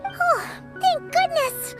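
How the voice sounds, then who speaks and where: A woman's voice: slow, over loud music. A woman voicing a tiny, childlike, cheeky fairy companion in a video game. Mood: excited. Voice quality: squeaky, childlike.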